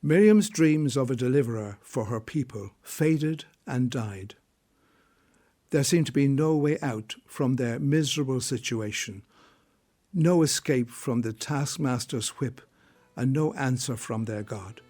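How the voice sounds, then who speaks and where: A man's voice: mid-range voice, unhurried, warm tone. A man narrating a biblical radio drama in a scripted storytelling style.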